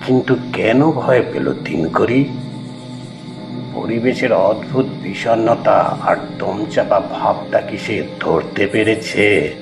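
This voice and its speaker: A man tells an eerie first-person story at a slow, measured pace. His voice has a gravelly creak at medium pitch. He keeps the suspense going over faint music. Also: rough recording. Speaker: narrator. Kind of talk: scripted first-person horror story narration.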